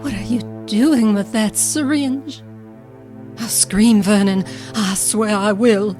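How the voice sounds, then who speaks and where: An actress playing a fragile, betrayed wife in an audio drama, pleading in a tremulous, melodramatic way. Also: female voice, medium pitch, slow pace, over music, lightly acted. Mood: terrified pleading.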